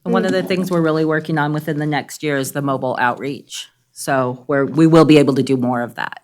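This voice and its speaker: A woman with a low voice speaks at a city board meeting. She is friendly and matter-of-fact, and she keeps things light with a joking touch. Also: steady tone, fast talker, darkish tone, slight background noise. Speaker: treatment center staff. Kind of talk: public meeting testimony. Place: government board meeting chamber.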